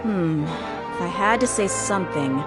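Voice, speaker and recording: A woman's voice: on the low side, animated, measured pace. A woman voicing a confident, tough young female mercenary in a video game, brash and casual. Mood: reflective. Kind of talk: video game character acting. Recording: music behind the voice.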